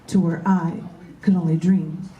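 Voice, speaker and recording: A woman's voice: fairly low pitch, flat delivery, unhurried, warm tone, lo-fi sound, slight background noise. A woman poet at an open mic, reading her work and chatting casually. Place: large bookstore open mic, indoors. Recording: echoey room, distant microphone.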